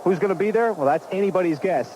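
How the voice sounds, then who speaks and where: A man announcing a pregame football broadcast on the radio, running through trivia in a brisk, fact-filled way. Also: sits high, mellow, slight background noise, rough recording.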